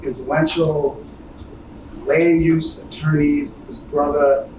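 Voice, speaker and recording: Slow, emphatic man in an echoey room. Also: fairly high pitch, flat delivery. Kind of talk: casual interjections at a gathering. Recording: distant, echoey, noisy room.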